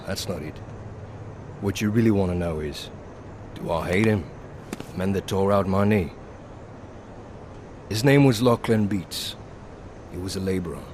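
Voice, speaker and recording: A man's voice: noisy background, close to an everyday voice. A man playing a wry, weary pilot. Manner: sardonic, dry, drops low at line ends. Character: weary middle-aged male pilot, wry and bitter. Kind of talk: video game cutscene dialogue.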